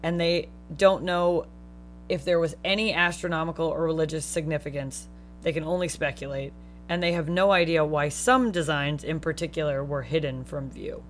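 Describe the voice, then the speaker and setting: A woman's voice: deep voice, steady tone, normal speed. A woman co-hosting a podcast, working through research notes in an easy, joking tone. She slips in swears and wisecracks as she goes, over faint background music. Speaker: podcast co-host. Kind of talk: casual chat, reading researched notes.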